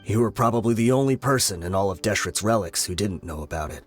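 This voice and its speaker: An actor playing a weary older male gang member in a game, explaining calmly, with faint music behind. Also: fairly low pitch, quick pace, natural voice. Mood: solemn. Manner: calm, explanatory.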